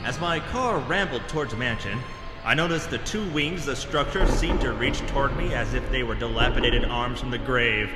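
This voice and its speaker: A man playing a self-important adventurer, narrating in a deadpan, melodramatic parody of a pulp radio serial. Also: medium pitch, normal speed, lightly acted. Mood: ominous, pompous. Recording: reverberant voice under loud music.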